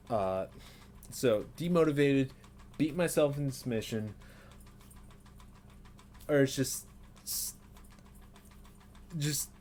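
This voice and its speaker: A man's voice: medium pitch, lively intonation, very slow, long pauses, a little mellow, slight background noise. A man in his twenties rambling self-critically in an unedited personal vlog, with nervous laughs and many fillers, recorded in a small bedroom on a desk webcam. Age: 20s. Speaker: vlogger.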